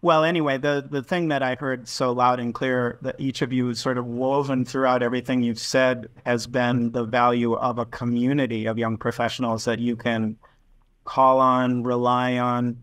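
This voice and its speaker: A man's voice: mid-range voice, little pitch movement, normal speed, a bit bright, no echo. A man in his sixties hosting a video call interview, talking in a rambling, conversational way with asides and restarts. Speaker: podcast host. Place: home office with bookshelves. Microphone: video call webcam.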